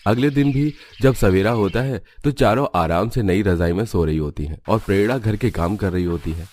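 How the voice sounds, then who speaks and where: Male storyteller for animated family tale, lowish voice, scripted narration. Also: normal speed, a little hiss or hum.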